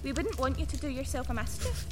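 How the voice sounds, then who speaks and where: A woman's voice: high voice, very animated. A woman playing a gleefully sadistic, unhinged young woman in a horror radio play, taunting and gloating as she draws out her words. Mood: gloating menace. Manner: taunting, gloating, drawn-out delivery. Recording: dramatic music behind.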